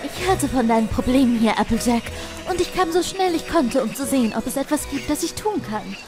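A woman's voice: sits high, expressive, brisk. A woman playing a prim, fussy female pony in an audio drama, affected and ladylike. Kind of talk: fan audio drama acting. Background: music.

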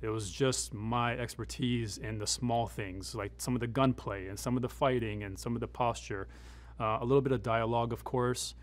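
A middle-aged man speaking as a military advisor in an interview, explaining things in a conversational, measured, matter-of-fact way. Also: medium pitch, fairly even intonation. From United States, born in Afghanistan. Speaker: military advisor and former Green Beret.

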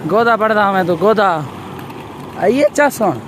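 A man's voice: sits high, lively intonation, measured pace. A man with a Pakistani Punjabi accent giving casual, exclaiming commentary as he films a walking tour, with repeated catchphrases. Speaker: vlogger. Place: outdoors on a city roadside while walking. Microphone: handheld phone camera. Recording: very noisy outdoor roadside. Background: traffic and street noise.

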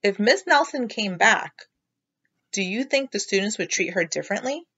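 A woman teacher reading a storybook aloud to children in an expressive, instructive way, on a slightly dull recording. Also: medium pitch, moderate pace, husky. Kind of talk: storybook read-aloud narration.

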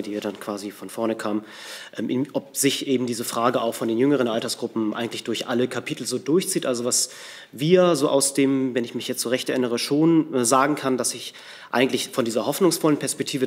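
A man in his thirties explaining research findings at a press conference, informally and with careful qualifications. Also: medium pitch. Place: large indoor press conference room.

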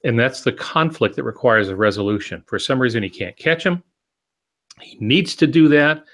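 A man in his sixties explaining things in a measured, instructive way during a discussion on a video call. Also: medium pitch, expressive, a bit bright. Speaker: podcast co-host, writing mentor. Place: home office, on a video call.

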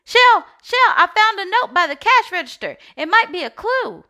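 A woman acts out a playful, excitable storybook egg. The delivery is theatrical and high, swinging up and down with a bright edge. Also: moderate pace, full character voice. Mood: excited. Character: Greg, playful excitable egg who loves hiding. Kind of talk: storybook character read-aloud.

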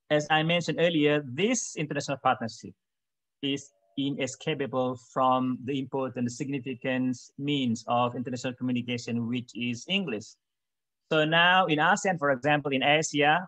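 A man's voice: medium pitch, a bit bright, no room sound. Middle-aged man lecturing on a call. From Indonesia. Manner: measured, repeats "for example" often, pauses with "uh". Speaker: webinar speaker. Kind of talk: academic presentation over video call. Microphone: video call.

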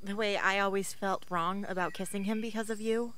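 A woman's voice: mid-range voice, fairly even intonation, fairly quick, fairly bright tone, slight background noise, natural voice. An actress playing a wounded, blunt young rebel woman in a radio drama. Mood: serious.

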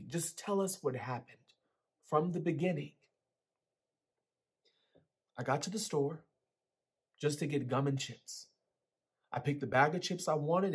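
A man in his twenties giving an expressive dramatic reading of first-person fiction. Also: mid-range voice, slightly rough. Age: 20s. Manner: expressive, acts out the dialogue. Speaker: actor reading aloud from a novel. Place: small plain room indoors.

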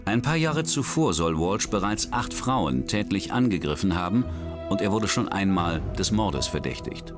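A man narrating a crime documentary in a measured, dramatic scripted style. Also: low-pitched, sing-song, over music.

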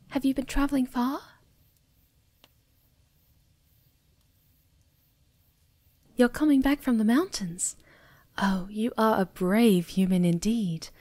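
Woman acting as sweet shy moth girl, ASMR roleplay, soft breathy near-whisper, unhurried, hesitant stammer, close dry sound. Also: a little high, no room sound. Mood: grateful, sweet. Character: sweet, ditzy, shy young female moth creature.

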